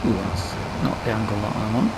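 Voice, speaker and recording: A man's voice: on the low side, very animated, a little fast, very noisy. A British man giving casual let's play commentary, musing aloud to himself as he goes. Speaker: gaming YouTuber.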